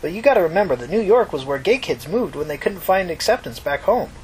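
A man's voice: high voice, very animated, fast talker, a little mellow, a little hiss or hum, voice pushed up, poor audio. A man voicing a kindly, talkative old mother from New York in a story reading, folksy, reflective and reassuring. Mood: reflective, encouraging. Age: older.

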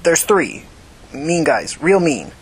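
A woman's voice: sits low, sing-song, takes it slowly, slightly rough, full character voice, poor audio. A woman voicing an odd, hesitant, fearful homeless man in a story reading, speaking in terse fragments. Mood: wary, halting. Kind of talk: audiobook character acting.

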